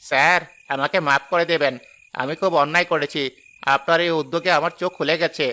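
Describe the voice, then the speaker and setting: A greedy village lemon seller rattles on in a quick, bright, sharp voice, as a man acts him out. He talks fast and pleads a lot. It plays out in a storybook cartoon. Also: a little high, fairly even intonation. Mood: remorseful. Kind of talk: cartoon voice acting.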